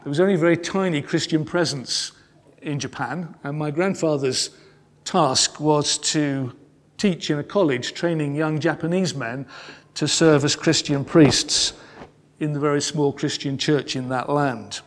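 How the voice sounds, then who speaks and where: A man telling a family wartime story in a measured, solemn sermon style. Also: a little high. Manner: measured and solemn, slowing on key phrases. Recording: long reverb in a large hall.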